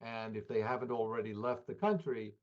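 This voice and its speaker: A man giving spontaneous analysis as an interviewed expert, halting and repeating words as he thinks. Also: mid-range voice, fairly even intonation. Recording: dull lo-fi interview audio.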